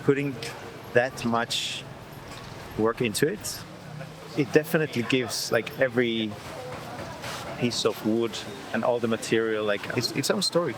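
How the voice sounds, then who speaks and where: Male, on-location chat at a harbor, relaxed and halting, street and vehicle noise. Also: medium pitch. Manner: relaxed, halting talk with fillers. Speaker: interviewee on location. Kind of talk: casual on-location talk. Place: outdoors at a busy harbor. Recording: outdoor street noise, traffic.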